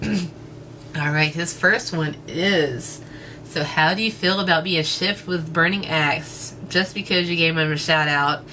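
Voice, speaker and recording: Slow bright-voiced East Texas woman. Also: low-pitched, a little hiss or hum. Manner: relaxed, hesitant, many fillers and small laughs. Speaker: YouTuber answering fan questions. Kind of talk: casual Q&A chat.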